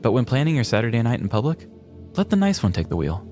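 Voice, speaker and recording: A man host narrating a scripted comedic crime story with a wry, deadpan delivery. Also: mid-range voice, quick pace, faint music behind.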